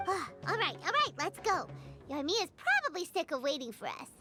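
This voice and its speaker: A woman voicing a tiny, bubbly, excitable fairy companion with a squeaky childlike voice in an animated video game. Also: moderate pace. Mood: scheming. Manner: exclamatory, speaks of self in third person. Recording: game music playing behind. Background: loud playful game music.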